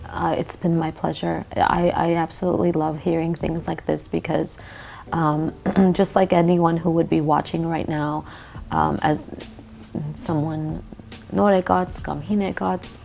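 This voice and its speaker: A woman's voice: sits low, little pitch movement, a little slow, creaky, slight background noise. A woman hosting an interview, affirming her guests with frequent mm-hmms. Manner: warmly affirming, lots of mm-hmms. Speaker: show host.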